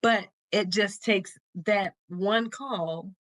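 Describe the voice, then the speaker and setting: Middle-aged woman, podcast co-host, mid-pitched voice, casual and encouraging, speaking straight to listeners in a dry space. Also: extremely slow, a bit bright, no room sound. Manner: addresses listeners directly, encouraging. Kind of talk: casual conversational advice podcast.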